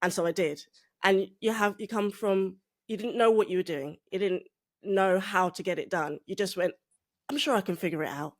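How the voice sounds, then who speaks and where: A woman hosting a podcast interview in a bubbly, effusive way, a little croaky from a cold. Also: medium pitch, moderate pace. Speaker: podcast host.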